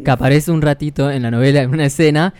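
A man's voice: on the high side, steady tone, fast, a little mellow, clean tone, no echo. A man with an Argentine accent, explaining things conversationally as a podcast co-host.

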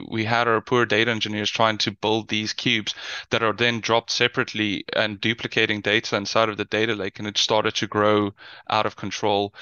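A man's voice: on the low side, sharp tone, clear, resonant tone, dry recording, muffled recording. A man with a South African accent giving a conversational webinar presentation, with many fillers and restarts. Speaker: guest presenter, business intelligence lead.

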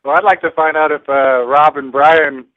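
A laid-back man chats easily as a guest co-host, his voice coming through a thin, phone-like line. It sits a touch higher than average and sounds dry, with no room around it. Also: fairly even intonation, moderate pace, no echo. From California. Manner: laid-back, easygoing, laughs a lot. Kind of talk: casual chat.

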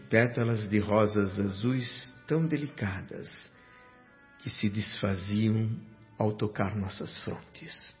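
An actor with a Brazilian accent playing a reflective doctor who narrates his memories in a measured, reverent, literary way for a radio drama. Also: medium pitch, natural voice. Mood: tender, hushed. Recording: dull lo-fi sound over music.

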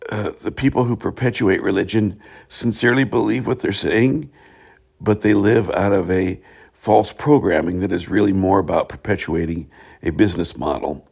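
Hoarse, gravelly-voiced man talking slowly. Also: sits low, a little mellow. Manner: slow and halting, many "uh" pauses. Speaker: host of a spiritual talk show. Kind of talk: reflective solo monologue.

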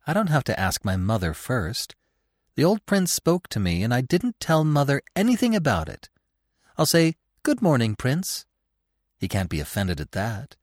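A man's voice: medium pitch, huge pitch swings, moderate pace, no echo, studio quality, voice pushed up. A man voicing a timid, bewildered young male fawn in a storybook. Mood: nervous resolve.

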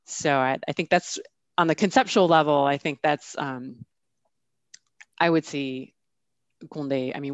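A woman's voice: very deep voice, gravelly, no room sound. A woman, a literary scholar, talking through ideas in an academic discussion, thoughtful and full of restarts. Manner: thoughtful, many restarts and "I mean".

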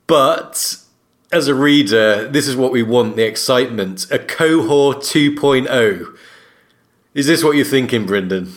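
A man co-hosting a podcast in casual discussion, appreciative and encouraging towards the other speakers. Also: medium pitch. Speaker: podcast co-host.

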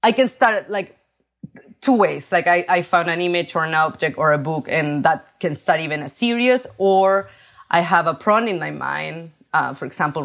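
A woman in her forties from Chile with a Chilean Spanish accent, talking haltingly about her art practice with many fillers and restarts. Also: deep voice. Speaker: artist.

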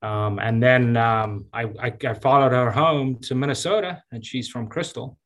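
A man in his fifties from Zambia, a county engineer giving relaxed, chatty interview answers with many fillers and restarts. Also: medium pitch, normal speed. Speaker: county engineer and public works director.

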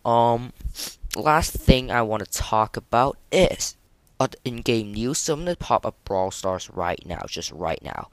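A man hosting a casual solo gaming podcast, speaking in a halting way and often stumbling and repeating words. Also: medium pitch, no room sound. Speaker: podcast host.

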